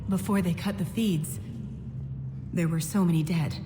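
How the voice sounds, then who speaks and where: Game role: insistent female reporter, played by a woman, mid-pitched, pointed delivery, long reverb with music behind. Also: steady tone, a little slow, natural voice. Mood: subdued. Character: pushy, impassioned female news reporter confronting officials. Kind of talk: dramatic video game dialogue.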